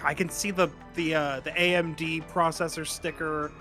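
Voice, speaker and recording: A man with a very bright, somewhat high voice banters casually as a game co-commentator. His asides are wry and conversational. Game music runs softly behind him. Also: level tone, moderate pace. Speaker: video game let's-play co-commentator. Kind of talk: casual banter over gameplay. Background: video game music.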